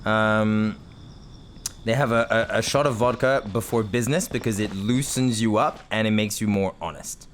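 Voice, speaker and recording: A man's voice: on the low side, normal speed, slight background noise. A man from Britain giving casual, rambling let's-play commentary as he plays, chatty, self-mocking and often laughing. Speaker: gaming video host.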